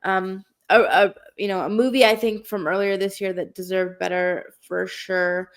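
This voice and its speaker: A woman's voice: mid-range voice, fairly even intonation, moderate pace. Woman host, nasal with a cold. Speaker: podcast host. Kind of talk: casual film podcast chat.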